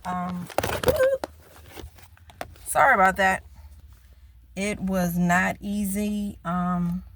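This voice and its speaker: A woman in her fifties talking slowly and earnestly to her viewers in a casual personal vlog. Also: lowish voice, little pitch movement, fairly bright tone. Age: 50s. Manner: slow, reflective, earnest. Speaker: vlogger.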